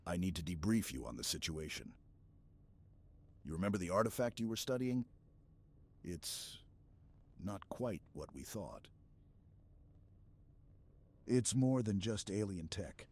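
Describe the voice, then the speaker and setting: Man voicing a very deep, stoic super-soldier. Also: measured pace, long pauses, heavily acted. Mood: grave briefing. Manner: steady, clipped, reassuring, military. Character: stoic, protective armored male super-soldier, calm commander. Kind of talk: ASMR roleplay, scripted dramatic monologue. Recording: clear background ambience noise.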